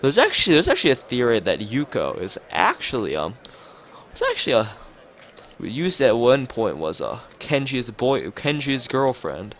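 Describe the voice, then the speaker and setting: A man reading a visual novel aloud as a let's player, casually, with halting, rambling asides. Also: mid-range voice, wildly swooping pitch, a bit bright. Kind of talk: casual game narration with side commentary. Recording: music behind the voice. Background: game music.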